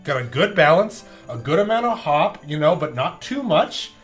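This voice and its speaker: A man hosting a review show in casual comic banter, with animated, exaggerated reactions. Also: fairly high pitch, moderate pace, soft background music. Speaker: beer review show host.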